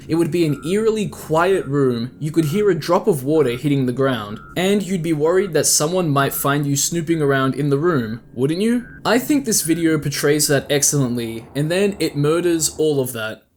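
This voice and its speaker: A man's voice: on the high side, a little mellow, a little hiss or hum. A man hosting a video essay, giving casual, opinionated commentary with a wry streak and frequent rhetorical questions. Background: background music.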